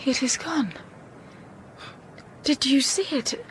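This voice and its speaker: An actress playing a reserved, melancholy and intense young teacher in a period drama, speaking in formal, measured, hushed phrases. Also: female voice, high voice, expressive, slight background noise. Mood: frightened urgency. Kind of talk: period literary audio drama acting and narration.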